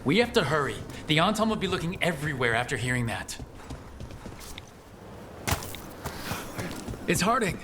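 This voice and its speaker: An actor playing an earnest young fantasy hero in a video game, urgent and direct, with battle noise behind. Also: male voice, a little high, very animated, fast, pushed and bright. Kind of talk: video game dialogue acting.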